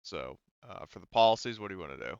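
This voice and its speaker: A man's voice: low voice, lively intonation, fast talker, vocal fry, smooth, no room sound. A man running a tabletop roleplaying game as game master, casual and thinking aloud with hesitations, on dull lo-fi audio.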